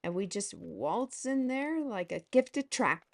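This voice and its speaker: A bold, teasing fantasy steward, played by a woman, talks in a playful, coaxing way. Her delivery is mid-pitched and leisurely, never rushed. She sounds like the lively lead of an audio drama. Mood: persuasive.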